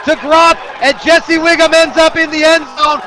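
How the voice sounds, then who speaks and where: A man calling live football play-by-play on the radio, excitable and breaking into shouts on big plays. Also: very high-pitched, normal speed, a crowd in the background. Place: outdoor football stadium broadcast.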